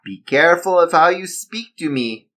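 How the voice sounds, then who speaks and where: A man voicing a menacing villain boss with a put-on German accent, slow and mock-polite. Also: fairly high pitch, lively intonation, bright, forceful tone, voice pushed up. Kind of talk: amateur storytelling.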